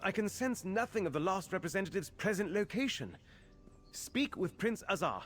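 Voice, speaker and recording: A man's voice: sits high, animated, moderate pace. An actor playing an anxious, fretful male mage's assistant in a video game, speaking earnestly. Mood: worried explaining. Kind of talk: scripted game character dialogue.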